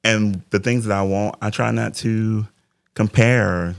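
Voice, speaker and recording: Forties male life coach, very low gravelly voice, dry close sound, thoughtful and faith-driven. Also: steady tone, measured pace, no room sound. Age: 40s. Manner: reflective, faith-driven talk. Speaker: interview guest, life coach. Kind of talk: casual interview conversation.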